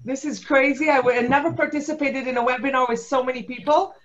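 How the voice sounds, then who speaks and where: Woman, webinar host, excited and chatty, high voice, muffled video call with crowd noise. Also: fast. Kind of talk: casual chat. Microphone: video call mic.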